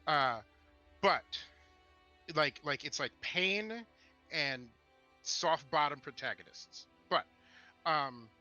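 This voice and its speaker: Man, very bright forceful voice, slow halting delivery, opinionated casual talk, emphatic, sarcastic asides. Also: fairly high pitch, expressive, lo-fi sound, faint music behind. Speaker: co-host of a tabletop gaming discussion show.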